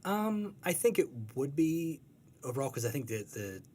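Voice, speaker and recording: A man being interviewed as a guest, talking in a casual, halting, self-deprecating and joking way. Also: on the high side, very animated, a little mellow, a little hiss or hum. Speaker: guest, comic strip artist.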